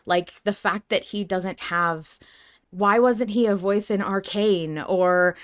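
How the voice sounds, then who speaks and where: A woman's voice: medium pitch, slow, dry recording, clean studio sound. A woman co-hosting a podcast in a casual fan discussion, talking in an effusive, emphatic way. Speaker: podcast co-host.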